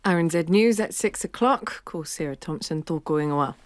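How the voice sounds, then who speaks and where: A woman reading the evening news bulletin in a measured, formal way. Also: lowish voice, animated, noisy background. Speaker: newsreader.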